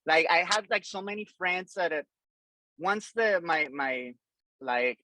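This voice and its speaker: Rambling Colombian-born man, bright tone. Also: a little high, animated, a little slow, slight background noise, poor audio. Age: 40s. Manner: loose and rambling, many fillers and restarts. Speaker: podcast guest, drummer. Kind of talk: casual interview chat.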